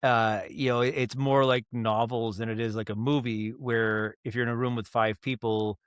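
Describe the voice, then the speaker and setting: A man with a medium-pitched voice talks as a podcast guest in a casual, conversational way. He tells stories in an animated, halting manner, acting out little noises as he goes. The sound is dry, with very little room. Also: clean tone, no echo. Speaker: guest, audio drama writer and director. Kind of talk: casual podcast interview, storytelling.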